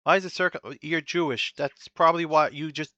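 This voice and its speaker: A man talking straight into a close desk mic, reacting to a video in loose, blunt commentary. His voice is bright and forceful, and he keeps repeating himself. The sound is dry and a little dull, with no room around it. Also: fairly high pitch, fairly even intonation, fairly quick, dry recording. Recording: slightly dull dry recording.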